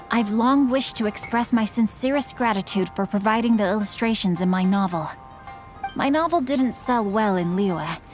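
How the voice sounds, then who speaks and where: A woman's voice: mid-range voice, a little mellow, natural voice. A woman voicing a polite, bookish young male swordsman and novelist in a video game, speaking in a courteous, formal way.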